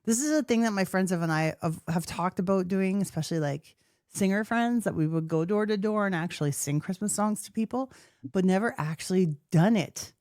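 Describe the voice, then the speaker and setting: A woman co-hosting a casual podcast, firing off quick questions and teasing her co-hosts. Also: medium pitch, darkish tone, no echo, studio quality. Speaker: podcast co-host. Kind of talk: casual chat.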